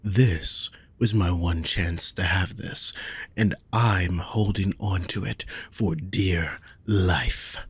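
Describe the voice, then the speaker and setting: Man as a low, husky possessive nobleman. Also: heavily acted. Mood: possessive, insistent. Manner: formal, measured, deliberate phrasing. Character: possessive, lovesick wealthy nobleman, haughty and coaxing. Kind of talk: scripted romantic audio roleplay, addressed to the listener.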